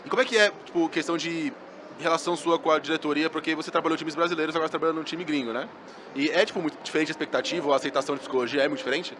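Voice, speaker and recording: A Brazilian man co-hosting a podcast, chatting in a relaxed, slangy way. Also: a little high, rapid-fire, noisy background. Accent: Brazilian Portuguese. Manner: slangy, relaxed, says "cara" and "pô". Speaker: podcast co-host. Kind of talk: casual podcast chat.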